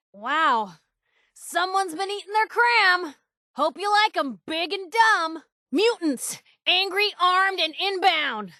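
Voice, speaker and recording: Casting: plucky young newswoman for a game, sardonic and slangy, very high, bright voice, dry close recording. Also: no room sound, exaggerated voice. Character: feisty young woman journalist, wry, plucky wasteland companion. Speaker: video game companion character. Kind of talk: video game voice acting, short combat and banter lines.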